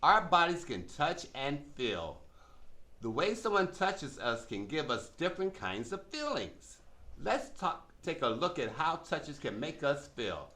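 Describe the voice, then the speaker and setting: Bright, animated male children's narrator. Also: on the high side, measured pace. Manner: simple, emphatic explaining to children. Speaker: puppet show narrator. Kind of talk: scripted children's educational narration.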